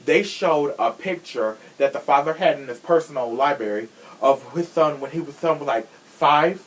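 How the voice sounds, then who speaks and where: A man's voice: a little high, normal speed, poor audio. A man hosting a podcast in casual true crime chat, sassy and blunt, swearing freely. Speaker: podcast host.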